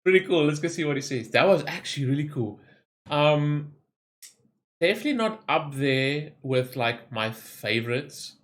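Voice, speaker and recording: A man's voice: on the high side, lively intonation, normal speed, fairly bright tone. A man hosting a reaction video, giving informal, enthusiastic commentary in casual language. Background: game trailer soundtrack.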